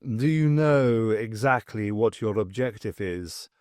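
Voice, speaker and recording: A man voicing a serious, sceptical male cat companion, dry and measured. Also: lowish voice, animated, a little mellow, no room sound.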